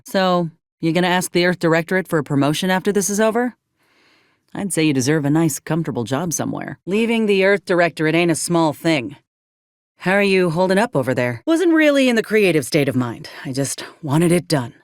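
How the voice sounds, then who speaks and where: A woman's voice: deep voice, no echo, studio quality. An actress playing a frank, caring young woman soldier in a game, earnest and plain-spoken with folksy turns of phrase. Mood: frank, troubled. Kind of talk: video game companion dialogue.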